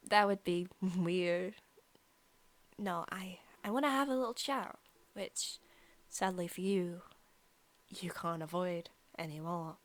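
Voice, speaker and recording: A young woman playing a possessive female vampire student in an intimate ASMR roleplay, softly spoken, partly whispered, coy and taunting. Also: on the low side, lightly acted. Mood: menacing, excited. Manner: soft, slow, coy talk sliding into taunting. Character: female student vampire, possessive, sweet-talking, menacing predator.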